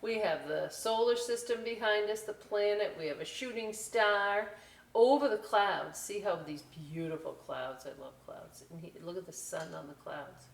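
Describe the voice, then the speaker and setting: A woman presenting a children's story time, reading aloud with chatty, animated, folksy asides straight to young viewers. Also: mid-range voice, normal speed, creaky. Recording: roomy, distant mic with faint hiss.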